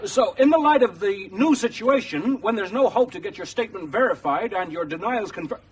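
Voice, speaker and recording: Pushy american radio presenter in a radio play, formal, very animated, bit distant. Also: male voice, sits high, a little fast, husky. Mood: awkward, probing. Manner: formal, insistent. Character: pushy, probing male American current affairs radio presenter. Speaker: actor in a radio drama. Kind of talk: radio drama acting as a live broadcast presenter.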